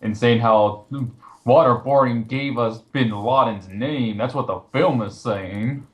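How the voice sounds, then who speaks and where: A man's voice: mid-range voice, slow pace, fairly bright tone. A man chatting casually in an online voice call, explaining things in a slightly hesitant, rambling way. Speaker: participant in a recorded online voice chat. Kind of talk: casual chat, recounting a list. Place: recorded Skype call.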